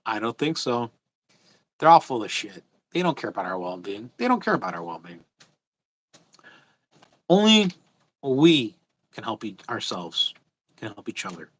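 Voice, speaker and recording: A man's voice: lowish voice, sing-song, moderate pace, pauses often, low-quality recording. A man hosting a casual livestream off the cuff, speaking bluntly and with strong opinions, repeating his phrases for emphasis. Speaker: host of a livestream.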